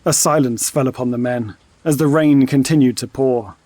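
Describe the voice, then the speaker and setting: A man's voice: mid-range voice, normal speed, a little mellow, no echo. A man delivering scripted, dramatic narration for an audio story.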